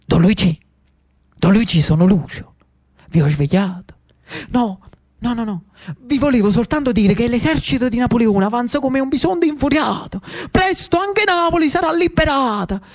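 Male actor as naive young Neapolitan lad, raised pitch, excitable, cheeky, stage monologue. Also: normal speed. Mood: excited.

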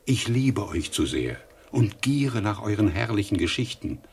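Devoted old-world servant, deep n raspy, slow, humble, pleading with his master, radio drama. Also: big pitch swings, darkish tone, slight background noise, heavily acted. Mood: devoted, worried. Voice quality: husky, gravelly.

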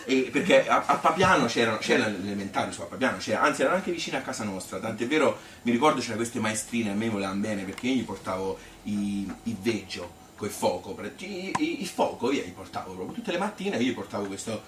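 Man playing an animated old Tuscan grandmother, roomy recording. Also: fairly low pitch, fast, few pauses, far from the mic, a little hiss or hum. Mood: reminiscing. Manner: rambling, repetitive, colloquial, animated. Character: elderly Tuscan grandmother Annunziata reminiscing about her hard childhood. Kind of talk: theatrical monologue, spontaneous spoken storytelling.

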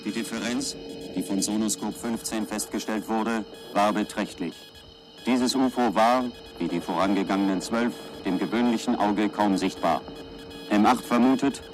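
An actor playing a stern army commander who delivers a dry, formal confidential report in a radio drama. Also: male voice, fairly low pitch, natural voice, low-quality recording. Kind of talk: radio drama military dispatch.

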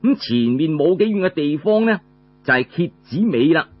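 A male Cantonese storyteller narrates an old tale dramatically. His voice is fairly high and very animated, with a husky grain. The recording is a bit dull, with mains hum. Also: moderate pace, faint music behind. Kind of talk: traditional oral storytelling, audiobook narration.

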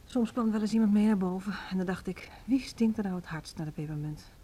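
An actress as a weary female music teacher confessing her alcoholism in a radio play. Her voice is soft-edged and mellow, her delivery candid and natural. A faint rumbly noise sits under the recording. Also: fairly quick, lightly acted. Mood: wry, candid. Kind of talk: naturalistic Dutch radio drama acting.

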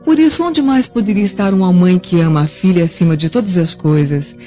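An actress playing a scheming, determined Roman wife and mother in a radio drama, hushed and crafty. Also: on the low side, brisk, lightly acted. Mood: defensive, aggrieved. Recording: dull lo-fi audio with faint music.

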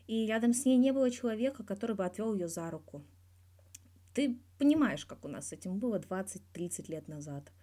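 A woman's voice: medium pitch, lively intonation, a little fast, mellow, voice pushed up, lightly acted. A woman voicing a candid, wry young male star athlete giving a guarded but blunt interview in a story. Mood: subdued, frank. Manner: guarded yet blunt, dryly teasing, swears freely.

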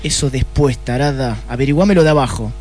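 An actor with an Argentine accent playing a rude, sardonic, hard-drinking private detective in a comic noir radio play. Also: male voice, mid-range voice, fairly quick, a little mellow, a little hiss or hum, lightly acted. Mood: irritated. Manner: brusque, sardonic.

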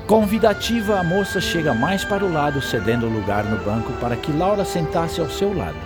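A man with a Brazilian accent narrating a scripted radio drama like a storyteller, expressive and addressing the listener. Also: on the high side, brisk, over music.

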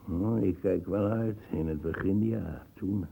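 Gravelly, deep old colonial grumbler. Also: male voice, unhurried, mellow, heavily acted, poor audio. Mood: grumpy, skeptical. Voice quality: gravelly, husky. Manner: brooding, cantankerous. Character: grumbling, suspicious old colonial uncle with a gun collection. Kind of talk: radio drama acting.

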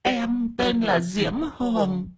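Sassy female ghost played by a male narrator, very high, husky, coquettish and whining, for comic horror storytelling. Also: animated, unhurried, full character voice. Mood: tearful, self-pitying. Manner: shrewish, whiny, coquettish. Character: bald female karaoke-bar ghost, sassy, self-pitying, flirtatious.

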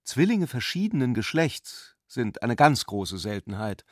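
An actor playing an intellectual, playfully ironic brother in a literary radio drama, speaking eloquently. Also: male voice, mid-range voice, sing-song, a little slow, no echo, studio quality. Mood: playful irony.

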